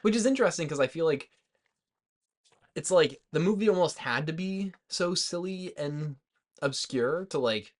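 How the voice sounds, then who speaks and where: A man's voice: a little high, expressive, moderate pace. Casual man, loose and hesitant. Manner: hesitant, loosely phrased, frequent "like". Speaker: podcast co-host. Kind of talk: casual movie discussion.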